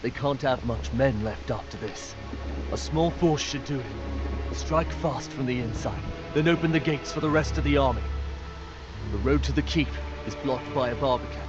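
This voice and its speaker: A resolute military commander from a fantasy video game, voiced by a man. He sounds grave and businesslike in a medium-pitched voice. Clear music runs behind the dull audio. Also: normal speed, natural voice. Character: resolute, pragmatic fantasy war commander planning an assault. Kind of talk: video game character acting.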